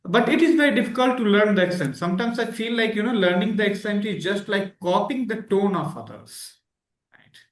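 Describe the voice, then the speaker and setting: A man's voice: high voice, brisk, reverberant. Hesitant man hosting. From India. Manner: hesitant, many fillers and restarts. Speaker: channel host. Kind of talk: casual interview.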